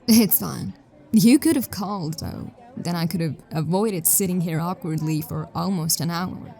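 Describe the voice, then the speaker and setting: A woman's voice: lowish voice, measured pace, dark tone. Woman acting an impatient, no-nonsense space detective. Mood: dryly annoyed. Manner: dry, curt, no-nonsense. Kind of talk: sci-fi audio drama acting.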